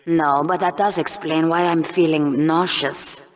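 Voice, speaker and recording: A woman's voice: low voice, fairly even intonation, a little slow. An actress playing a mocking, sweetly sadistic female co-anchor doing sports commentary in a video game. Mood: sardonic. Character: sultry, sardonic female co-anchor with a cruel streak. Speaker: co-host commentator.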